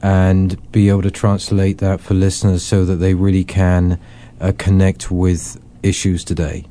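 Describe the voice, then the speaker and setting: A man's voice: deep voice, monotone, normal speed, warm tone, slight background noise. A man hosting a religious discussion, moderating conversationally and often repeating words as he frames his questions. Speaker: host of a religious discussion series.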